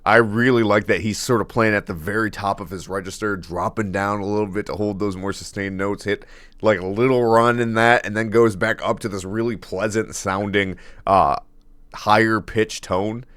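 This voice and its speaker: A man with a deep baritone voice reacting casually to a song, laughing often and rambling with fillers and restarts. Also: fairly even intonation, moderate pace, fairly bright tone, no room sound. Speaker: music reaction host.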